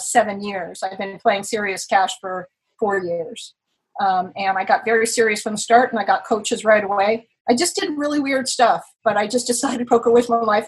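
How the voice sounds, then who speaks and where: Husky-voiced woman in her sixties. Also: medium pitch, rough recording. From Los Angeles, California. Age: 60s. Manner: chatty, animated, self-deprecating. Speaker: podcast guest, writer and poker player. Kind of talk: casual interview over video call. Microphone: video call.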